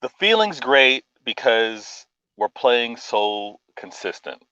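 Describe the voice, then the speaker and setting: A man talking football as a stream guest, enthusiastic and casual, often pausing with "um". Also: medium pitch, very animated, pushed and bright, smooth, no room sound, lo-fi sound. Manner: enthusiastic, fills pauses with "um". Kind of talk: casual chat.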